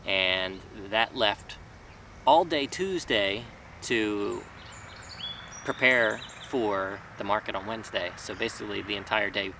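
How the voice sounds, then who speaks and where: A man's voice: on the low side, sharp tone. A man in his thirties, a small farmer, talking casually and rambling a bit with fillers and restarts, filmed outdoors in a vegetable garden. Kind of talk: casual talking-head vlog. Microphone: camera mic from a few feet away. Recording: distant mic with faint outdoor noise.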